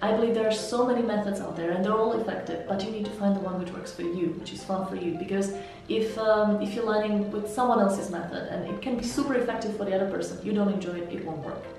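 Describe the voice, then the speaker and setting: A woman with a Slovak accent explains her approach in a measured way, letting phrase ends fall softly. Her voice is mellow and dark. She sits some way from the mic in a very echoey room, with faint music underneath. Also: mid-range voice, vocal fry, distant mic. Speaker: language mentor and interpreter. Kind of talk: interview answers.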